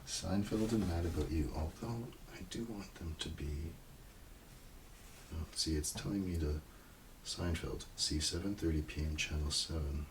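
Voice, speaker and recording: Very deep, slow, soft-spoken ASMR man. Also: low-quality recording. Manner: soft-spoken, lapsing into whispers. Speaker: ASMR roleplay performer. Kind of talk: ASMR, casual muttering while browsing TV listings. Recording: clear background noise, page rustling and clicks. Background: page flipping and highlighting sounds.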